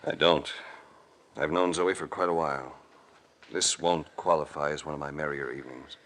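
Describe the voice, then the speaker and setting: Casting: deep, forceful American hero-narrator, hard-boiled and sardonic, vintage radio sound with light hiss. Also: male voice, normal speed. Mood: wry, unruffled. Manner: deadpan, sardonic, dry understatement. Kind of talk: 1940s radio detective acting and narration.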